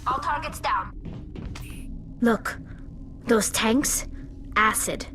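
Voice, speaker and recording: A woman's voice: a little high, drawn-out words, a bit bright, soft background music. A woman voicing an earnest, precise young female alien engineer in a video game. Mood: serious.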